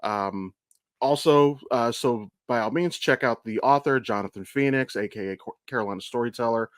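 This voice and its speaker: A man with a medium-pitched voice talks as a podcast co-host. His delivery is casual and blunt, full of enthusiasm and swearing. The sound is close and dry. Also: moderate pace, dry recording. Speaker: podcast co-host and amateur voice actor.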